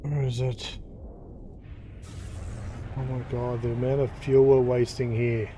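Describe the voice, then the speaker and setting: A man's voice: medium pitch, flat delivery, slow, mellow, a little hiss or hum. A man doing casual live commentary as he plays a game, muttering to himself with mild frustration. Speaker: game streamer.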